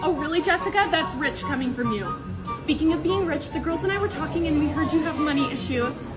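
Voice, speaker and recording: A woman voicing a gushing, fake-sweet suburban mom in a comedy skit, her voice pitched up by a voice changer. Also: a little fast. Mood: catty. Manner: gushing, girlish, exaggerated. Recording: music behind the voice.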